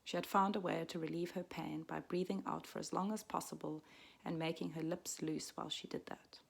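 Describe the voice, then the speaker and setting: A woman in her forties, raised in Switzerland, reading a personal story aloud in a soft, reflective way that often drops to a half-whisper. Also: deep voice, level tone, a little fast, creaky, breathy edge. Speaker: midwife and storyteller.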